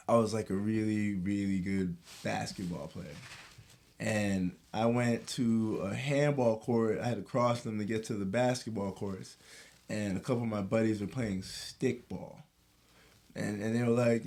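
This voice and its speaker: A man co-hosting a podcast, telling stories in a lively, slangy way and laughing as he talks. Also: on the low side. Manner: animated storyteller, slangy, laughs mid-story. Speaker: podcast co-host. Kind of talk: casual storytelling chat.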